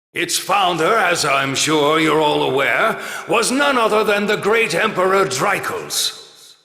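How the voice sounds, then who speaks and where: An actor voicing an elderly, dignified military academy principal giving a formal, measured ceremonial speech in a video game. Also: male voice, high voice, pushed and bright, exaggerated voice. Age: older. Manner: stately, measured, oratorical. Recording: echoey hall with long reverb.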